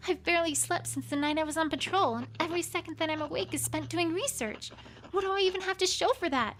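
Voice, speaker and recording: A woman's voice: very high-pitched, quick pace, fairly bright tone, slight background noise. A woman voicing an anxious young female unicorn scholar pony, reading her diary in an earnest, fretful first-person way. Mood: tired, uneasy. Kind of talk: journal read aloud as a fan audiobook. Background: music.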